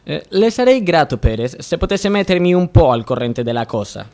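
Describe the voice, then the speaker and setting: Man playing a high-pitched, wry investigating judge. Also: sing-song, normal speed, a little mellow. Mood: courteous, probing. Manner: hemming, wry, probing. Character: probing, wry, exasperated male investigating judge. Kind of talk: radio drama dialogue.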